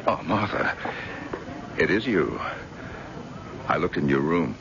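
An actor playing a gruff, suspicious, belittling husband in an animated, theatrical 1970s radio drama style. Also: male voice, a bass voice, takes it slowly, heavily acted. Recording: dull, noisy old radio broadcast.

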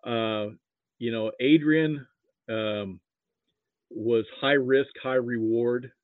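An American man from Nebraska chatting casually about sports as a guest, haltingly repeating words as he thinks. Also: mid-range voice, no echo. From Nebraska, United States. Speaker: podcast guest, sports fan. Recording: muffled phone-like line.

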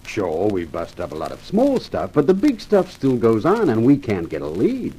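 Sardonic radio police chief, swooping pitch. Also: male voice, wildly swooping pitch, normal speed, dark tone, husky, exaggerated voice. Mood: stern, frustrated. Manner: dry, sarcastic, pointed. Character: sardonic male police chief, shrewd and quietly menacing. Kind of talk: 1950s radio crime drama acting. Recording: slightly noisy vintage radio recording.

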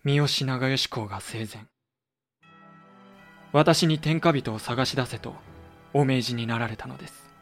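A man's voice: mid-range voice, unhurried, long pauses, faint music behind, natural voice. A man playing a composed, guarded tea master who speaks formally and deferentially in a Japanese period audio drama. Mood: earnest, defensive.

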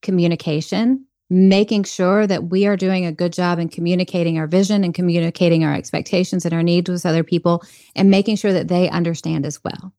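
A woman's voice: lowish voice, fairly even intonation, a little fast, hardly any pauses, mellow, no echo, clean studio sound. A woman guest on a podcast interview, talking earnestly and reflectively about faith and leadership. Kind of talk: conversational interview.

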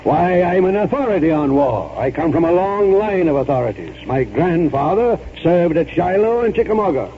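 An actor playing a pompous, stubborn old retired colonel in an oratorical 1940s radio style. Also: male voice, fairly high pitch, sing-song, moderate pace, few pauses. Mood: boastful. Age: older. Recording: tinny, noisy 1940s radio recording.

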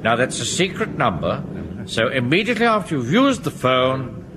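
An actor playing a pompous, exasperated middle-aged Home Guard captain in a British sitcom, with a clipped, commanding manner and an English accent. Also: male voice, medium pitch, sing-song, moderate pace, natural voice. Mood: briskly instructing. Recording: noisy old radio comedy recording.

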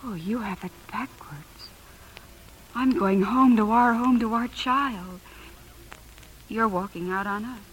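A woman's voice: mid-range voice, slow pace, pauses often, a little hiss or hum, natural voice, rough recording. A woman with an American accent playing a principled, anguished young mother and wife in a 1950s radio drama, earnest and emotional. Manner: earnest, emotional, breathy at times.

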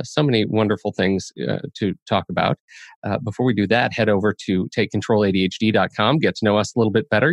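A man hosting a podcast in a casual, chatty way, expressive and playfully dramatic in his emphasis. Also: lowish voice, no room sound. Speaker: podcast host.

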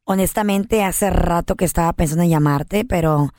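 A woman's voice: fairly low pitch, normal speed, a little mellow, no echo, studio quality. A woman co-host placing a prank phone call, talking in a playful, flirty way with bits of English mixed in. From United States, family from Honduras.

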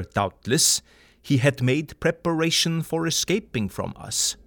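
An actor playing a fervent, devout older professor who speaks formal, stilted English in an audio drama. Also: male voice, medium pitch, a bit bright. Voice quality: old-fashioned, stilted foreign-sounding phrasing. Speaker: vampire hunter professor.

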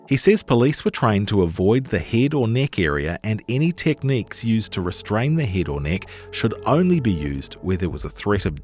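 A man's voice: fairly low pitch, darkish tone. A man with a New Zealand accent reading scripted journalistic narration for a podcast. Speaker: narrator of a long-read podcast.

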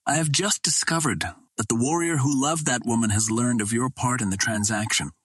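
A man voicing a stern old alien warrior in an audiobook, speaking formally with measured, cold menace. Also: medium pitch, steady tone, a bit bright, breathy edge, no room sound.

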